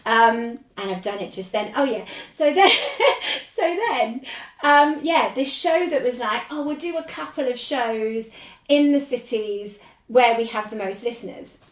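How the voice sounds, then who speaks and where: Woman in her forties, squeaky and high, rambly podcast guest; roomy, slightly distant mic. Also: a little slow. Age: 40s. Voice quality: squeaky speaking voice. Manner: rambling, animated, laughs often. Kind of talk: casual chat.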